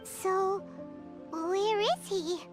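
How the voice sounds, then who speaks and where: A woman voicing a tiny, excitable, childlike female fairy companion in a video game, squeaky and exclamatory. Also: extremely slow, full character voice. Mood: curious. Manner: exclamatory, speaks of self in third person. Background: game music.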